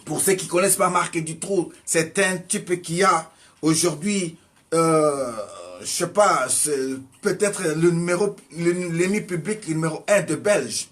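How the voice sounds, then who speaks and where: High-voiced preacher exhorting. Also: male voice, measured pace. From Congo. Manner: exhorting, rhetorical questions, swings between loud and soft. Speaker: evangelist preacher. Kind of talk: sermon.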